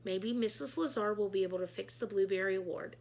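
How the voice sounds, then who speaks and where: A woman reading a children's book aloud as storyteller, in an expressive narrating style. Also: mid-range voice, quick pace, creaky.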